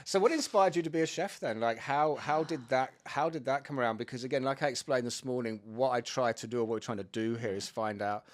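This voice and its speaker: A male podcast host with a mid-pitched voice who rambles and jokes. He trips over his words and restarts his sentences in a relaxed, casual interview. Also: fairly quick, hardly any pauses. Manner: rambling, stumbles and restarts, jokey. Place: hotel room.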